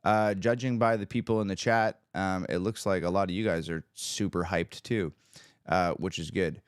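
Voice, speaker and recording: A man hosting his own livestream, a musician chatting casually with viewers, upbeat and hyped, his voice a little raspy from screaming the night before. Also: sits low, steady tone, moderate pace, no room sound, clean studio sound. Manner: upbeat, hyped, talks to the chat.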